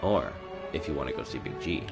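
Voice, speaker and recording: A man's voice: rumbling low, fairly quick. A man streaming a video game live, commenting casually in a laid-back, informal way. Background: game music.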